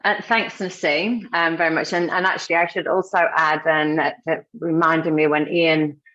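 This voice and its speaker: A woman's voice: deep voice, steady tone, moderate pace, fairly bright tone, lo-fi sound. A woman from the UK hosting a live webinar, chatty and full of fillers. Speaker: webinar host.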